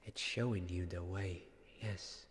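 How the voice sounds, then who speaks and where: A young man playing a devoted, weary knight in a soft-spoken ASMR roleplay, halting and often slipping into a whisper. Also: low-pitched, fairly even intonation, a little hiss or hum. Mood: hushed, wondering. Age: young adult. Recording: long cavernous reverb, faint background ambience.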